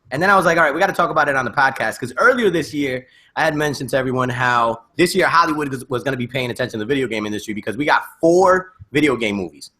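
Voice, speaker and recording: Bright, higher-voiced man hyping a podcast. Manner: emphatic hype-man delivery, slangy. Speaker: podcast host. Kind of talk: casual video podcast banter.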